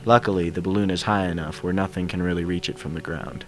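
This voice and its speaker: Low-pitched man narrating a story. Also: steady tone, fast talker. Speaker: fan fiction reader. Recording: soundtrack music behind the voice. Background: game soundtrack music.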